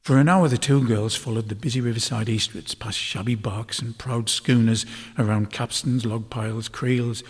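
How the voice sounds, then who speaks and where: A man reading a story aloud as scripted narration, in a measured, dramatic literary manner. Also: mid-range voice, fairly even intonation, slightly rough. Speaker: author reading a novel chapter aloud. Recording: long hall-like reverb.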